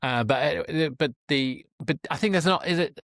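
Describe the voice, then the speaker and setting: A man's voice: on the high side, moderate pace, gravelly, fairly bright tone, no room sound, clean studio sound. A man hosting a conversational interview, thinking aloud in a reflective, hesitant way and often qualifying his own words. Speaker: podcast host.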